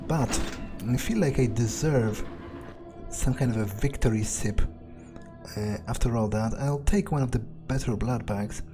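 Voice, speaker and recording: Wary male vampire played by a male gamer, profane, halting and unhurried, medium pitch, game music behind. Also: natural voice. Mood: musing. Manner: muttering, halting, profane asides. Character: foul-mouthed, wary male vampire exploring sewers. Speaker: gamer in an in-character playthrough. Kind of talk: improvised in-character game roleplay, thinking aloud.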